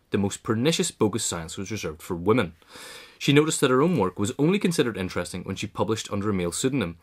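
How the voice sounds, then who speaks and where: A man reads a scripted biographical essay aloud as its narrator. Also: lowish voice. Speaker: reader of an essay.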